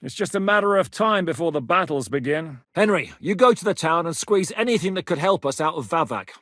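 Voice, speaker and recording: An actor playing a shrewd, resolute medieval rebel captain who speaks plainly and with authority in a video game. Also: male voice, a little high, animated, brisk, fairly bright tone, no echo, clean studio sound. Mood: firm, planning. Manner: authoritative, decisive, plain-spoken. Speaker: military leader planning operations. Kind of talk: video game dialogue acting.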